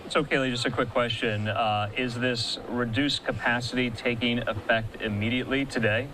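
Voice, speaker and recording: A man's voice: medium pitch, steady tone, normal speed, pushed and bright, a little hiss or hum, poor audio. A man anchoring live breaking news as a news anchor, speaking close to the microphone.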